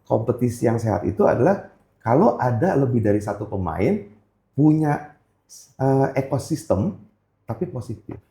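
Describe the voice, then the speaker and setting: A man's voice: mid-range voice, big pitch swings, normal speed, vocal fry, pauses often, warm tone. A man with a Jakarta Indonesian accent chatting casually as a podcast guest, colloquial and sprinkled with English phrases. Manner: colloquial, peppers talk with "bos" and English phrases. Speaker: guest, pawnshop business owner. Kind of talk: casual podcast chat, storytelling. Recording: roomy echo.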